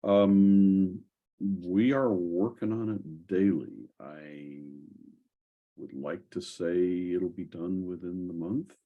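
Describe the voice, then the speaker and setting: A man with a very deep, somewhat rough and husky voice hosts a casual software call. He speaks haltingly, thinking aloud as he answers questions. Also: steady tone, narrow, tinny audio. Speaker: host of a software community call. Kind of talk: casual Q&A and tech discussion.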